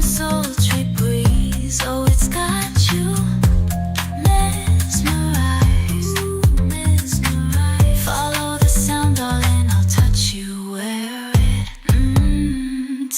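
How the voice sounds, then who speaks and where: A woman performing a slow, seductive song in a sultry, breathy style. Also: high voice. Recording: loud music under the voice. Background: music.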